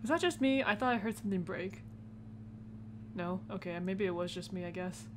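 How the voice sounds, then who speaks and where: A woman's voice: medium pitch, moderate pace, noisy background. A woman hosting a gaming video with casual, reactive commentary, muttering informal asides to herself.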